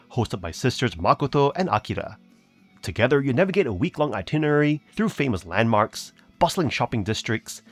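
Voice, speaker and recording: A man narrating scripted video game reviews as a reviewer, with a polished, promotional delivery. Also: medium pitch.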